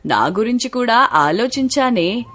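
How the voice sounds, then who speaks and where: A woman's voice: fairly high pitch, expressive, measured pace, a bit bright. A woman voicing a poor, weary, practical mother, plaintive and persuasive, in a moral story. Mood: plaintive persuasion.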